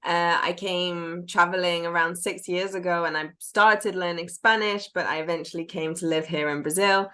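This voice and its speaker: A woman's voice: on the low side, fairly even intonation, moderate pace, few pauses, a bit bright. A woman with a British accent, co-hosting a podcast and speaking casually. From United Kingdom. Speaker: podcast co-host. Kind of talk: casual chat.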